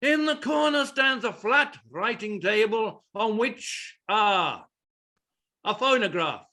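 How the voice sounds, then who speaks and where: An actor as a pompous, high-pitched playwright narrator. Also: male voice, expressive, pushed and bright, heavily acted. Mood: measured, precise. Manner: deliberate, declamatory, pompous. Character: pompous, exacting playwright narrating his own play. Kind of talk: theatrical reading of stage directions.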